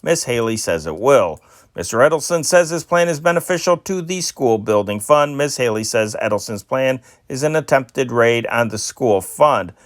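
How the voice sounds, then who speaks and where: A man reading old newspaper stories aloud in a steady amateur style, with small slips and restarts. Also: medium pitch, normal speed, no echo, clean studio sound. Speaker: newspaper reader.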